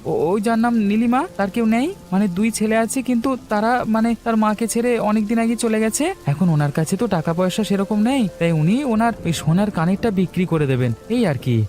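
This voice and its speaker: A man's voice: high-pitched, fairly quick, few pauses, a little mellow, close to an everyday voice. A man voicing an earnest, kindhearted young orphan milk boy in a village cartoon story. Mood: polite. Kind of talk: cartoon voice acting.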